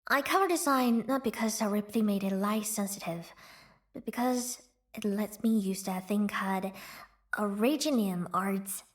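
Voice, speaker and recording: A woman voicing a young, playful, proud feline girl who leads her village, teasing and quick to laugh, for a video game. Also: medium pitch, natural voice. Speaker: game character voice.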